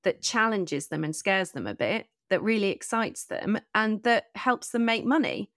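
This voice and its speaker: A woman's voice: mid-range voice, very animated, normal speed, a bit bright, no room sound, studio quality. A middle-aged woman hosting a conversational podcast interview, speaking earnestly and articulately in long, considered sentences.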